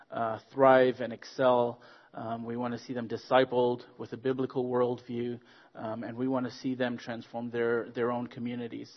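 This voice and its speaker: A man from Zambia, a guest preacher giving a friendly sermon with stories, joking and pausing on fillers. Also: medium pitch, dull audio. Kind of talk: sermon with storytelling.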